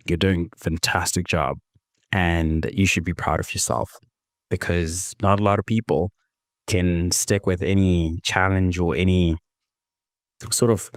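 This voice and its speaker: Male devotional host, very low voice, hoarse from a cold, gentle and unhurried, dry recording. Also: no room sound. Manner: unhurried, gentle, self-correcting. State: recovering from a cold, slightly hoarse. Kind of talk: casual devotional reflection.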